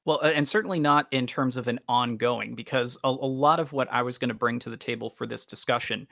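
A man talks as a podcast co-host with a forward, bright voice and some gravelly fry. His delivery is enthusiastic but halting, stammering through long, wordy thoughts. The sound is dry and close. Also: medium pitch, no room sound. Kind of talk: casual podcast discussion.

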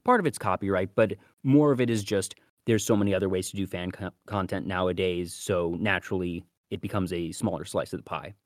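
A man's voice: sits low, fairly quick, hardly any pauses, dry recording, studio quality. A man co-hosting a podcast, talking casually about fandom in long, detailed explanatory asides. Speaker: podcast co-host.

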